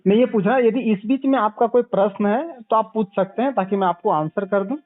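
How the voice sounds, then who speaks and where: A man giving a didactic sales pitch as a consultant over the phone, repeating points and checking back with questions. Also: fairly quick, dry recording. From Bihar, India. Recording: narrow, tinny phone call recording.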